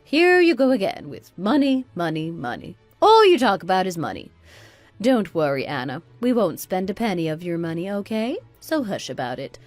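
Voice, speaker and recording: A woman's voice: fairly low pitch, big pitch swings, normal speed, a little mellow, dry recording, soft background music. A woman playing a spiteful, self-righteous mother-in-law of sixty-two in an audio drama, scolding and condescending with dramatic exclamations. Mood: exasperated. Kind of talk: scripted radio drama dialogue.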